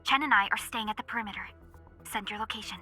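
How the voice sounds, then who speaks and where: A woman voicing a caring, anxious young female comrade in a video game, eager and quick to worry. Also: medium pitch, bright, forceful tone, breathy edge, faint music behind. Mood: brisk.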